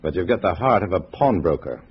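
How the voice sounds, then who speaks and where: A low, lively male voice gives us a hard-boiled undercover agent in an old radio spy drama. He is terse and wryly dry. The recording is muffled, with a faint hiss. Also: a little fast. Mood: cool, dismissive. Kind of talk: 1950s radio drama acting and first-person narration.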